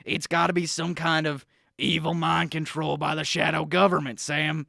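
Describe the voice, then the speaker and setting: A man voicing a brash male news correspondent and supplement salesman who rants loudly and pushily about conspiracies in a comedy radio bit. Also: fairly high pitch, flat delivery, moderate pace, vocal fry, dry recording, clean studio sound, voice pushed up, full character voice. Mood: ranting. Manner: ranting, loud, pushy.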